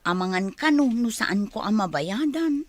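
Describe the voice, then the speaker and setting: A woman's voice: medium pitch, expressive, normal speed, lightly acted. A woman with an Ilocano accent playing a poor, complaining young village wife in a radio comedy drama. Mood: downcast. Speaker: voice actor.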